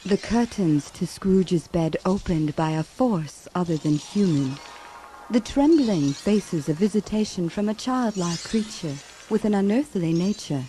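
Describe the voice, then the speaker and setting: A radio drama narrator with a mellow, dark voice of medium pitch. The scripted storytelling is measured and solemn. It is an old live recording with faint noise behind. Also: animated.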